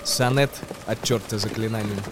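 A man, an actor, narrating a tale in verse for a radio play in an expressive, declamatory way. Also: lowish voice, moderate pace, background noise.

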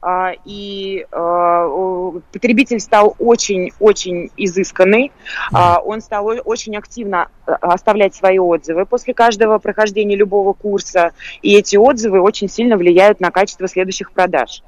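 A woman's voice: medium pitch, steady tone, normal speed, no room sound, muffled recording. A woman speaking as an expert guest in a live talk show, explaining her points. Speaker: guest expert, lawyer for online schools.

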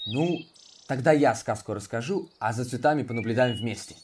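A man's voice: mid-range voice, moderate pace, darkish tone, slight background noise, close to an everyday voice. Man as a blunt, easily offended guard dog for a kids' audio story, barks mid-line, mic a little distant. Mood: huffy. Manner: gruff, blunt, barking between phrases. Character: touchy, proud male watchdog. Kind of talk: children's audio drama.

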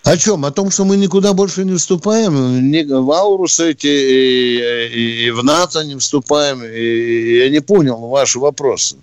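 A man's voice: fairly high pitch, normal speed, hardly any pauses, no echo. A man co-hosting a call-in radio show on army questions, questioning callers in a dry, probing, sarcastic way. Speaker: radio co-host, retired colonel. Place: radio studio.

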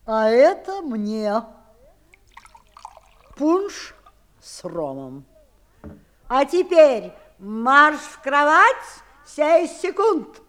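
Casting: brisk, bossy magical nanny, highish voice, swinging intonation, slightly noisy vintage recording. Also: female voice, very animated, a bit bright, heavily acted. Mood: brisk, commanding. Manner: curt, commanding, matter-of-fact. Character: strict, brisk, self-assured magical English nanny. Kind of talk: 1960s audio play acting.

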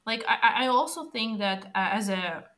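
A woman's voice: fairly high pitch, expressive, moderate pace, bright, forceful tone. A woman whose first language is Russian, chatting casually in English with hesitant fillers and frequent laughs. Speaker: conversation participant. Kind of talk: casual chat.

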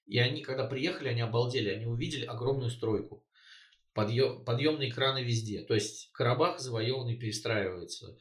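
A man in a relaxed political conversation, middle-pitched, filling his pauses with hesitation sounds and then leaning hard on his points. His voice carries a roomy echo. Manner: hesitant, many filler sounds, emphatic. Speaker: co-host. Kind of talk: casual political discussion.

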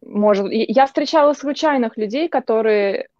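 Young woman from Russia, interview guest; mellow, chatty anecdotes, dull dry lo-fi sound. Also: on the high side, normal speed, no room sound. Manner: chatty, anecdotal, frequent restarts. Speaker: guest, journalist. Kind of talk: casual interview chat.

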